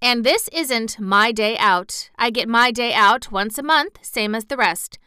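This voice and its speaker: A woman doing a young Yorkshire maid, broad dialect, talks fast and freely, raised and bright, good-tempered, dry close sound. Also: a little high, smooth, no room sound. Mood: stout. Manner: broad dialect, rattling and outspoken.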